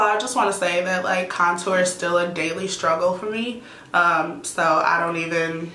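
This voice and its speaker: A woman in her thirties answering viewer questions in a casual vlog, chatty and relaxed with many "um"s and "like"s. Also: lowish voice, roomy sound, slight background noise. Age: 30s. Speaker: YouTuber, teacher. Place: indoor room at home.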